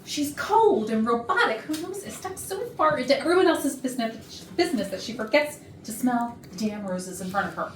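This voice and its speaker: A woman's voice: on the high side, expressive, brisk, few pauses, close to an everyday voice. A woman acting a flirty, catty, self-satisfied HR manager in an arch, sarcastic way at a comedy staged reading. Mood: flirty, coaxing. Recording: noisy roomy rehearsal, far from mic.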